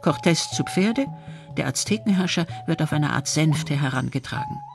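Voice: medium pitch, very animated, moderate pace, creaky, over music. A narrator reading a scripted historical documentary.

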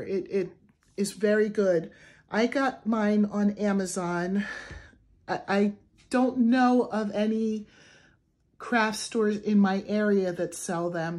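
A young adult woman with a raspy voice from the flu, casually talking through a crochet tutorial at a table, chatty with fillers and restarts. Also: medium pitch, a little mellow. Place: indoors at a wooden table. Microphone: camera over a tabletop, hands only.

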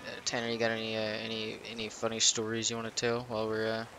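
A man's voice: lowish voice, monotone, fast, music in the background, rough recording. A man in casual gaming chat with a friend, joking and swearing freely. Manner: profane, joking. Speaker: gamer playing with a friend. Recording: background game noise of sea and boat.

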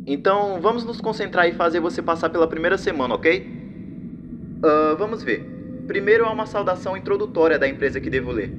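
A man's voice: high voice, lively intonation, brisk, lo-fi sound, background noise. A Brazilian man acting as a nervous, reassuring former night guard leaving a rambling, hesitant training message in a fan dub. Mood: awkwardly reassuring. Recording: music bed under the voice.

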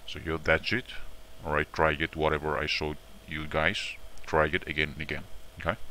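A man presenting an informal step-by-step software tutorial in a repetitive, instructional way, often checking in with short tags. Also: extremely low pitch, little pitch movement, normal speed, a little hiss or hum, poor audio.

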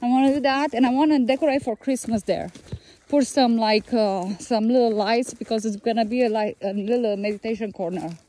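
A woman's voice: a little high, a little fast, warm tone. A woman co-hosting an outdoor walking tour of a tree farm, chatty and enthusiastic, with loose, non-standard phrasing. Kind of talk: casual walking tour vlog.

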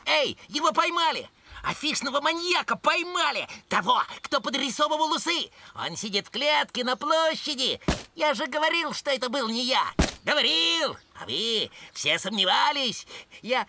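Little cartoon boy, high, frantic, indignant, swooping pitch, music behind. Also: very animated, moderate pace, pushed and bright, slight background noise, exaggerated voice. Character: indignant, excitable little schoolboy. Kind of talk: cartoon voice acting.

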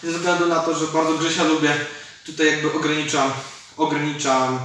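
A man's voice: fairly high pitch, level tone, normal speed, a bit bright. A man, explaining martial arts techniques informally as an instructor while demonstrating them. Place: large indoor MMA cage gym. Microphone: camera several metres away. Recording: echoey gym, distant mic, some noise.

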